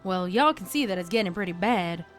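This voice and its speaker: A woman's voice: fairly low pitch, expressive, a little fast, soft background music, natural voice. A woman voicing a down-to-earth, plucky female farm pony with an American Southern accent and a folksy, plain-spoken manner. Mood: worried. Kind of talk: fan fiction character voice acting.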